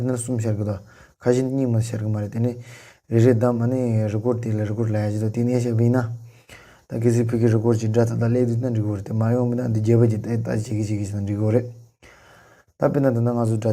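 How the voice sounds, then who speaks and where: Male tutorial presenter, low and fairly monotone, unscripted, with halting phrasing. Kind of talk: unscripted software tutorial narration.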